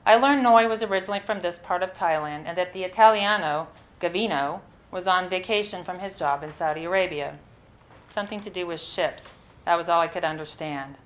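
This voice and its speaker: A woman reading her own first-person story at a live literary event, in a measured, expressive way with the odd small stumble. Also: lowish voice.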